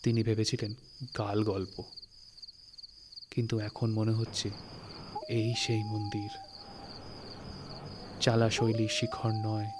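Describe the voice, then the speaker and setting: A man narrating a literary story in a dramatic, suspenseful way, with expressive phrasing and deliberate pauses. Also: on the low side, background noise. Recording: studio recording with music. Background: music and night insect sounds.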